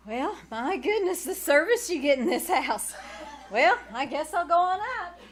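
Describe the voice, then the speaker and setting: A woman's voice: high voice, expressive, moderate pace. A woman playing a fussy, puzzled, good-natured aunt with flustered, folksy delivery in a 1940s-style radio comedy. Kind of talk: 1940s radio comedy acting before live audience. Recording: noisy live stage recording.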